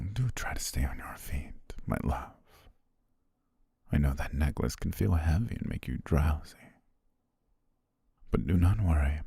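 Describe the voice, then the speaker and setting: Guy acting as a super husky low possessive butler, asmr roleplay, formal n lovesick, real slow. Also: no room sound, clean studio sound, full character voice. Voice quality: husky, breathy, near-whisper. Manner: formal, ornate, hushed diction.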